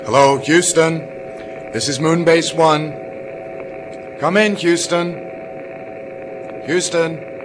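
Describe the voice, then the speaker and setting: A slow, slightly high male voice acted as a troubled space captain in a radio play. He sounds deliberate and commanding, with clear ambient noise behind him. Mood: businesslike, puzzled. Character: grave, troubled moon base captain. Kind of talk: radio drama acting.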